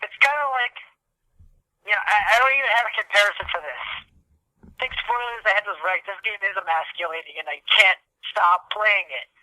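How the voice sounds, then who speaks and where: American male co-host, high-pitched and piercingly bright, casual comic ranter. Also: brisk, dry recording, poor audio. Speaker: podcast co-host. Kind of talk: casual comedic ranting.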